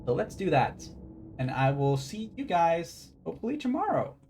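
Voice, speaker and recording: A man's voice: fairly high pitch, big pitch swings, moderate pace, creaky, darkish tone. A man chatting casually on a live stream, rambling with swearing, fillers and restarts. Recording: stream audio with game music behind.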